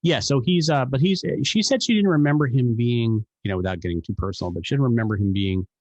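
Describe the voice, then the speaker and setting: A man co-hosting a podcast, chatting in a rambling, animated way with many restarts. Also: mid-range voice, fast talker, dry recording, dull audio. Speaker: podcast co-host. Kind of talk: casual podcast chat.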